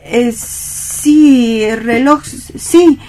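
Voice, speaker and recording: An actor with an accent from Spain playing a timid, hapless young poet in a radio play reading, halting and meek. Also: male voice, very high-pitched, darkish tone, heavily acted. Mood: nervous, hesitant.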